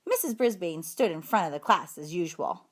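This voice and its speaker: Lady reading a kids book out loud, really expressive, voice goes up and down a lot. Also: on the low side, moderate pace. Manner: animated, emphatic storytelling. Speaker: storybook reader, teacher. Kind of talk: expressive read-aloud of a children's story.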